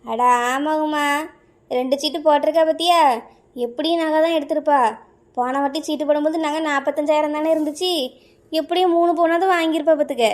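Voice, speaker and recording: A woman's voice: high voice, fairly even intonation, brisk, lightly acted. A woman playing a spiteful, gossipy village neighbour in a comic cartoon, carping in a southern Tamil Nadu accent. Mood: spiteful gossip.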